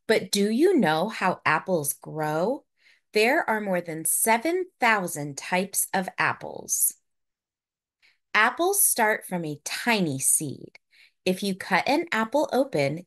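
A woman narrates a children's picture book at a slow, careful pace. Her mid-pitched voice swings expressively up and down. She sounds like a storyteller spelling things out for young children. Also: fairly bright tone.

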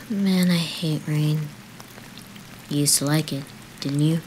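A woman voicing a shy, flustered young man in a romance comic, with a hesitant delivery. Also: low voice. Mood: glum, awkward. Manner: hesitant, drawn-out. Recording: noisy recording with rainy ambience.

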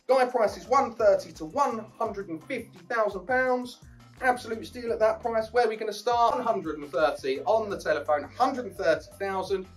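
A man in his thirties with an English accent, working as a property auctioneer and calling bids at a live auction in a brisk, encouraging way. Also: high-pitched, recorded from a distance, faint music behind. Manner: brisk, upbeat, encouraging bidders. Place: small auction room with banner backdrop. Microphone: podium mic, filmed from a distance.

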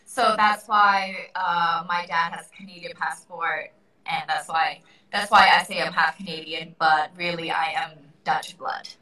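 A bright, earnest young woman from Thailand answers interview questions on a video call. Her voice sits in the middle range and carries clearly. The room around her is very echoey, and she is a little distant from the microphone. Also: lowish voice, fairly even intonation, unhurried. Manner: earnest, upbeat, laughs often. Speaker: guest. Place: indoor room by a window. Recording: echoey room, far from the mic.

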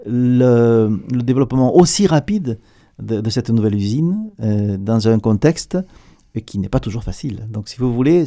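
A middle-aged man with a southern French accent, a company director giving an animated, hesitant radio studio interview with repeated words. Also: medium pitch. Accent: southern French, Provençal. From southern France. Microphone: table mic with headphones.

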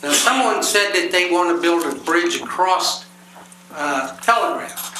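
A man in his seventies, a retired electrician, giving earnest plainspoken public comment at a council meeting with many uh pauses. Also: sits high, animated, pushed and bright. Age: 70s. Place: large council chamber. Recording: echoey chamber with background noise.

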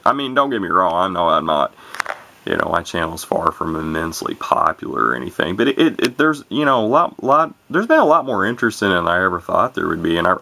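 Hobbyist vlogger, man, low gravelly voice with a bright edge, runs his words together. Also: slight background noise. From Illinois, USA. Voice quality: low gravelly voice, talks monotone. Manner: rambling, many fillers and restarts, runs words together. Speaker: YouTuber showing vintage radios. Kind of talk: casual unscripted talk to camera.

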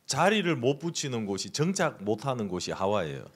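A man's voice: mid-range voice, racing, husky. A middle-aged man preaching in Korean as a pastor, telling a story with gestures into a handheld microphone in a church hall. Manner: lively storytelling, gesturing with his free hand. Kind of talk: sermon with live interpretation.

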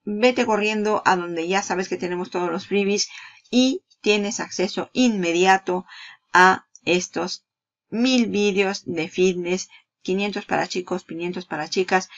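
Woman, casual screen-share vlog, chatty, gravelly, dull lo-fi sound. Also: mid-range voice. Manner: chatty, laughs at herself, addresses viewer directly. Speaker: marketing YouTuber.

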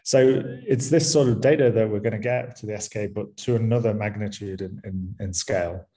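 A man with a Manchester-area English accent gives a conference talk from a small room over a webcam. His voice sits low and sounds mellow, and his delivery is relaxed, full of ums and small restarts. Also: brisk. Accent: Mancunian English. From England, near Manchester. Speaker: university lecturer.

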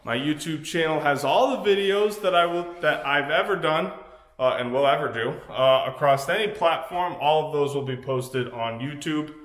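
An American man in his thirties giving an unscripted property walkthrough to camera as a real estate agent, halting and repeating phrases as he explains. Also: on the high side, a bit bright. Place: empty rooms of a large house, indoors. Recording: echoey bare rooms, music behind.

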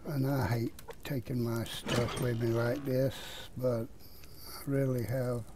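A man's voice: medium pitch, takes it slowly, background noise. A man with a Southern US accent giving casual, folksy commentary over a survival game he is playing. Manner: relaxed, folksy drawl with regional words. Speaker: gaming YouTuber.

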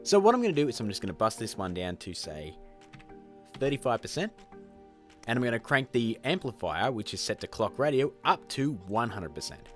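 A man's voice: mid-range voice, sing-song, fairly quick, faint music behind. A man in his thirties presenting a tutorial in a chummy, informal, upbeat way, talking to viewers as guys. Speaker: tutorial host.

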